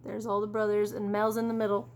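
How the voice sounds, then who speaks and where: A woman reading a children's story aloud expressively, in an animated way, pausing for asides to young listeners. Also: mid-range voice. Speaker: teacher reading a picture book aloud.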